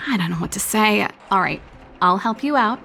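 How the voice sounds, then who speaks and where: A woman's voice: medium pitch, animated, a little slow, vocal fry, pushed and bright, a little hiss or hum, heavily acted. A woman playing a breezy, confident older-sister mechanic in a video game, chatty and teasing with a nostalgic streak. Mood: teasing, resigned.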